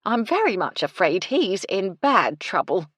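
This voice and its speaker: A woman's voice: medium pitch, very animated, measured pace, fairly bright tone, husky, no room sound, voice pushed up, full character voice. A woman reading a fretful, homely older housekeeper, deferential and flustered. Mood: worried.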